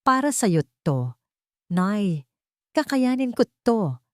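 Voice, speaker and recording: Proud young housemaid acted by a female narrator, respectful but firm, expressive delivery, romance audio drama. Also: mid-range voice, slow, dry recording, studio quality, pitched above the performer's own voice. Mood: tender resolve. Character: poor, brave young provincial maid, polite but proud.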